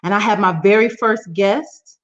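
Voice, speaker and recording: A woman's voice: medium pitch, steady tone, moderate pace, a bit bright. Upbeat woman hosting a live talk stream, kinda muffled lo-fi sound. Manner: upbeat, repeats phrases, laughs easily. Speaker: host. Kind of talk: casual live broadcast chat. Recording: muffled lo-fi audio.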